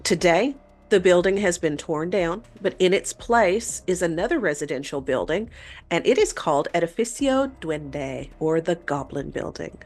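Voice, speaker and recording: A woman's voice: fairly low pitch, animated, moderate pace, a little mellow. A woman co-hosting a talk show, telling a story in a conversational way. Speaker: podcast host telling a paranormal story.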